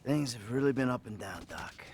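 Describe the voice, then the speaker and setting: An actor playing a troubled, foul-mouthed middle-aged American criminal in a video game cutscene, halting and wry. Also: male voice, mid-range voice, animated, slight background noise, natural voice. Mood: confessional, anguished.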